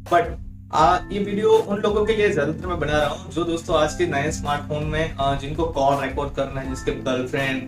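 A young adult man gives a step-by-step tutorial in a chatty way, addressing his viewers as friends. His voice is medium to slightly high for a man, and music plays behind him. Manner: repeatedly addresses viewers as friends, mixes in English words. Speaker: tech tutorial YouTube host. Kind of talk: tutorial presentation to camera and screen walkthrough. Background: background music.

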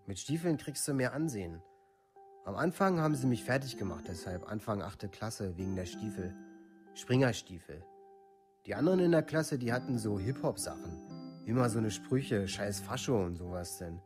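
An actor playing a troubled village youth from a far-right scene in a radio play, speaking colloquially in short clipped phrases. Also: male voice, lowish voice, expressive, normal speed, over music, natural voice. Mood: subdued, confiding. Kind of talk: documentary radio play monologue.

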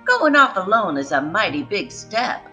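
A woman's voice: medium pitch, sing-song, moderate pace, pushed and bright, soft background music. A woman voicing a loving but firm Southern grandmother in a storybook, folksy and emphatic. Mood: firm, caring.

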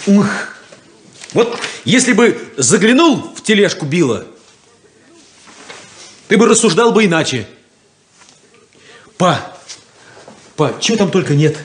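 Excitable naive farm lad, very animated. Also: male voice, high voice, slow, long pauses, a little hiss or hum, exaggerated voice. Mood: excited. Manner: earnest, wide-eyed, emphatic. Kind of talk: 1970s Soviet radio play acting.

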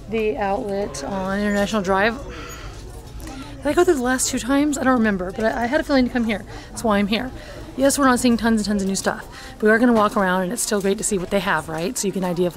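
A woman's voice: medium pitch, a little mellow. Woman, 30s, casual shopping vlog, upbeat chatter, handheld camera at arm's length, indoor store. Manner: chatty, upbeat, reads out prices, frequent gasps and little laughs. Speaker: shopping vlogger. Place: large indoor retail store. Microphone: handheld wide-angle camera at arm's length.

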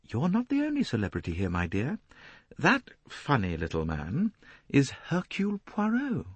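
A man's voice: medium pitch, huge pitch swings, normal speed, breathy edge, dry recording, voice pushed up. A man voicing a tactful older mother who speaks lightly.

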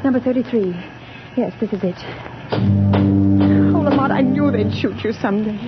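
A woman's voice: mid-range voice, huge pitch swings, unhurried, loud music behind the voice. A woman playing an anxious, determined young society lady, urgent and dramatic, in a 1930s radio drama. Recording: muffled, noisy 1930s radio recording.

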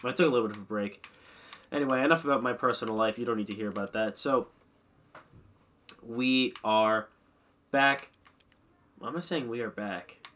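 A man's voice: medium pitch, normal speed, long pauses, fairly bright tone. A young man slightly congested, rambling casually and self-mockingly while commentating a hockey management game at his desk mic. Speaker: gaming commentator.

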